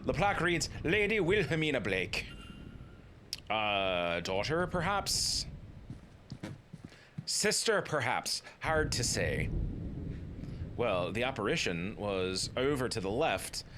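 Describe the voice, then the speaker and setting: A man's voice: medium pitch, very animated, slow, pauses often, background noise. A man in his thirties hosting a let's play, joking and commenting casually as he plays. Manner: playful, jokey, slips into silly voices.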